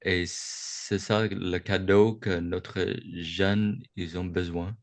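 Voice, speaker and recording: A man from the United States gives a reflective Buddhist talk to young adults over a video call, slow and halting, often restarting his sentences. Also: sits low, little pitch movement, no echo. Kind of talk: reflective dharma talk on a webinar. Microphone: video call microphone.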